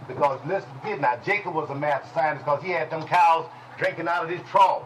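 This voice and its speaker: A man teaching a congregation in a preaching style, folksy and call-and-response, with speech, dropping at times to low murmurs. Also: a little fast, a little hiss or hum, low-quality recording. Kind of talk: preaching Bible lesson. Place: congregation hall.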